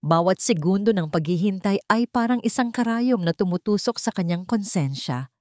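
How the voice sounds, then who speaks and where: A woman reading a scripted love story as narrator, solemn and measured, with dramatic pauses. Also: medium pitch, animated, darkish tone, no echo, studio quality.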